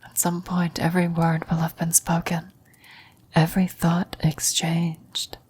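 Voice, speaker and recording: A woman voicing a vampire's dying former lover in an ASMR roleplay, a wistful, knowing woman who whispers in a breathy, solemn, deliberate way. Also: fairly low pitch, a little mellow, full character voice.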